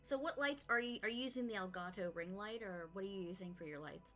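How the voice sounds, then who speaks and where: A woman hosting a podcast, chatting casually in an energetic, booming way and laughing easily. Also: quick pace, faint music behind. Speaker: podcast host. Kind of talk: casual interview chat.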